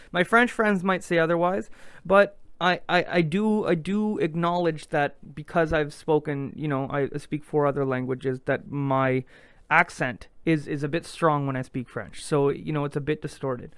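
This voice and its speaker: A man's voice: on the high side, fairly even intonation, moderate pace, fairly bright tone, smooth, dry recording. A man from Quebec hosting a podcast, chatting casually and rambling with many restarts. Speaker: podcast host.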